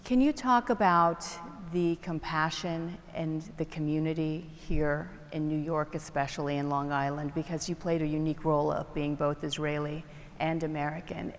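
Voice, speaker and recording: Low-voiced woman interviewer in a hall. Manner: measured, formal questioning. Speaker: interviewer, news anchor. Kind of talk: onstage interview questions. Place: large event hall. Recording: reverberant hall, faint crowd and noise.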